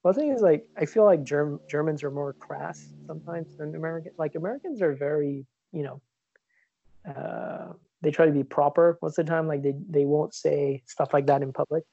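A man from Switzerland chats loosely on a podcast, circling his points with many fillers and false starts. His voice is dark and mellow. The sound is dry and a little dull. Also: fairly high pitch, normal speed, vocal fry, dry recording. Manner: rambling, many fillers and restarts. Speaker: podcast co-host. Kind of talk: casual chat. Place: lives in New York.

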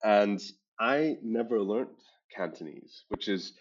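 A man from Hong Kong with an international school English accent, telling stories as an interview guest in a halting, self-correcting, emphatic way. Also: lowish voice, expressive. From born and raised in Hong Kong. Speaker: startup founder and interview guest. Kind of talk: conversational interview answers, storytelling. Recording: dull lo-fi podcast audio.

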